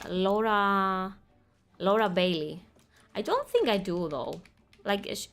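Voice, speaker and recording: Chatty woman streamer, easy laughs. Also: mid-range voice. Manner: chatty, laughs easily, reads quest text aloud. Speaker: game streamer. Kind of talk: casual gaming commentary.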